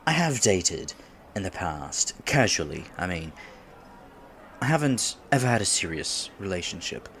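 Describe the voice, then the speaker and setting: A man's voice: medium pitch, slight background noise. A man in his twenties playing a nervous, polite young silver fox kitsune who wants to seem mature, in an ASMR date roleplay, speaking formally with stammers. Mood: earnest, awkward. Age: 20s. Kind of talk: ASMR roleplay, scripted one-sided date conversation.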